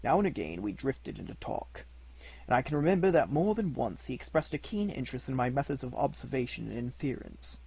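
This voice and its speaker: A man reading a detective story aloud as an amateur audiobook narrator, in an expressive manner. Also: mid-range voice, creaky, slightly rough, low-quality recording.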